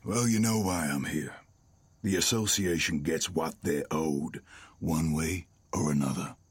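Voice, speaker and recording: Very deep, husky menacing collector. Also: male voice, no room sound, heavily acted. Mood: smug menace. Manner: menacing, drawn-out. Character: menacing debt collector, smug and unhurried villain. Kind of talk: cinematic audio drama acting.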